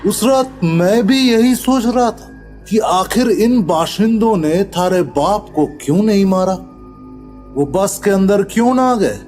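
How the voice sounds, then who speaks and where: A man's voice: sits high, lively intonation, takes it slowly, a little mellow, faint music behind. A man playing a fearless, sly rustic with a Rajasthani-Haryanvi accent in a horror audio drama, drawling, mocking and unruffled. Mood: knowing, grim.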